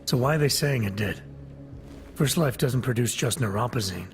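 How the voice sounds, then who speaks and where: An actor playing a guarded, skeptical, no-nonsense agent in a video game cutscene, speaking with restraint. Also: male voice, medium pitch, expressive, moderate pace, soft background music, natural voice. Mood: guarded, determined.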